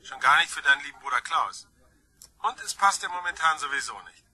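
An actor in a radio crime drama playing a mocking, falsely sweet freeloader who needles his brother, with a slightly husky voice. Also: on the high side, expressive, brisk, sharp tone, full character voice. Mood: mocking, needling.

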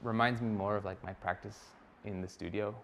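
Low, flat-voiced man musing on art. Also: a little fast, background noise. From Costa Rica. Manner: reflective, loose, with many fillers and restarts. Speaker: artist. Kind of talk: documentary interview.

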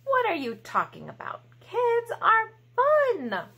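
A woman's voice: very high voice, huge pitch swings, slow, bright, forceful tone, pitched above the performer's own voice, exaggerated voice. A woman voicing a bored, dramatic little girl in a picture book, with exaggerated childlike delivery. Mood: eager, defiant. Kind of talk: picture-book read-aloud.

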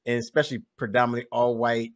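A man's voice: medium pitch, little pitch movement, quick pace. A man in his forties with an American accent, hosting a casual comic chat, joking and repeating lines for effect. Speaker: podcast host, comedian. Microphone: large studio microphone close up.

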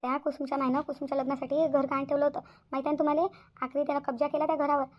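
A woman's voice: high-pitched, little pitch movement, rapid-fire, highs cut off, slight background noise, close to an everyday voice. A woman voicing a worried, stubborn village mother in a Marathi cartoon, plaintive and repetitive, with a Vidarbha Marathi accent. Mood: upset, pleading.

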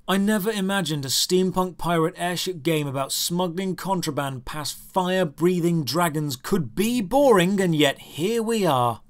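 A man's voice: high-pitched, normal speed, few pauses. A young man narrating a scripted video game review with dry, exasperated sarcasm.

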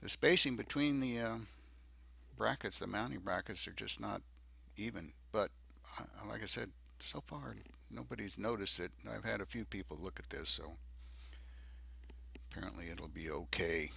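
Low, gravelly older man, halting delivery. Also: bright, forceful tone, no echo. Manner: halting, hesitant delivery with many ums. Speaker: DIY presenter. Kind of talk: unscripted narration of a project video.

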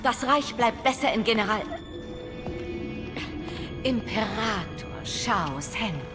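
An actress playing a bold, determined young warrior princess in a dramatic video game, decisive and commanding. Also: female voice, a little high, animated, takes it slowly. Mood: defiant, cool. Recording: loud music behind voice. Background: loud music.

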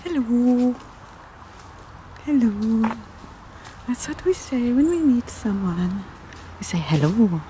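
A middle-aged woman chatting casually and gently while walking outdoors, as in an ASMR roleplay. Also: on the high side, lively intonation, rough recording. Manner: gentle, unhurried, meandering. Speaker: ASMR video creator. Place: outdoors on paths through woods and streets. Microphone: handheld camera at arm's length. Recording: outdoor ambient noise, passing traffic.

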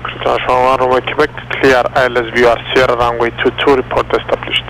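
A man working as an air traffic controller, giving clipped clearances over aviation radio. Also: mid-range voice, completely flat delivery, fast talker, fairly bright tone, poor audio. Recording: radio transmission with background hum.

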